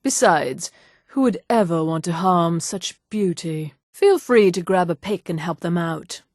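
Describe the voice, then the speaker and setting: An actress voicing a vain, scheming female high elf for a fantasy video game, with a haughty, poised, condescending manner. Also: lively intonation, unhurried, a little mellow, no room sound, clean studio sound. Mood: disdainful. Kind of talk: scripted video game character dialogue.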